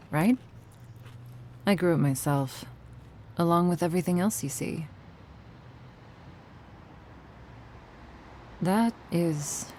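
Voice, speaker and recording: A woman playing an ageless vampire gardener in a slow, intimate ASMR roleplay, measured and solemn with a deadpan edge and a soft, sometimes breathy voice. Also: low-pitched, a little hiss or hum. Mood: calm, matter-of-fact. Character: ageless vampire woman gardener, sardonic yet tender, protective.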